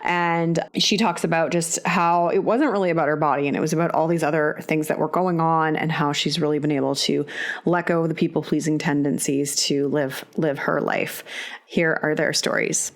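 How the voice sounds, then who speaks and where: Woman, low voice, podcast host, episode intro, even delivery. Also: normal speed.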